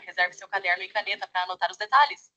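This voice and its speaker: A synthetic woman's voice of a virtual assistant avatar reads a scripted class welcome smoothly and evenly. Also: medium pitch, quick pace, pushed and bright, muffled recording. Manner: smooth, evenly read delivery.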